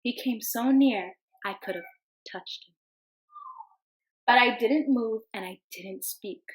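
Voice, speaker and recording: A young woman teacher reading a picture book aloud to her class in a gentle storytelling voice, calling the animals in a sing-song tone. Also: a little high, slow pace, poor audio. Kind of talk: children's read-aloud.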